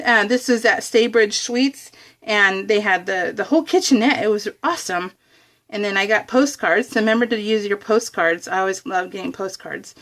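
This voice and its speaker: Chatty, high-voiced woman with a giggly manner. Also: a bit bright. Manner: bubbly, giggly, rambling. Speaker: craft vlogger. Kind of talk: casual chat while showing scrapbook layouts. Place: indoors, room at home.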